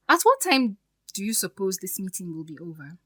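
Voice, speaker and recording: A woman's voice: mid-range voice, very animated, normal speed, pushed and bright. A woman acting in an audio drama as a poised, resolute fiancée who speaks with pointed, sarcastic politeness.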